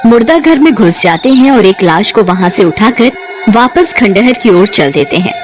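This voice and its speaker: A woman's voice: medium pitch, expressive, normal speed, over music. A woman reading scripted narration for a cartoon ghost story.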